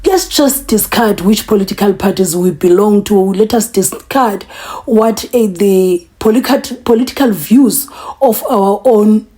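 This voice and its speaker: A woman's voice: medium pitch, measured pace, mellow, breathy edge. A tired woman with a South African accent, talking earnestly off camera as host of her political commentary vlog. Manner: earnest, conversational, with restarts. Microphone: voice-over, off camera.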